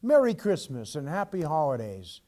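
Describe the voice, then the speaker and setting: A man giving an expressive, theatrical storytelling recitation of a holiday poem. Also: a little high, normal speed. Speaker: poem reader.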